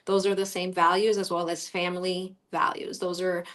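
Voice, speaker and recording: A woman's voice: lowish voice, little pitch movement, moderate pace, a bit bright. A woman from Colombia, a native Spanish speaker, giving an earnest, reflective interview in a conversational storytelling style. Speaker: interview guest.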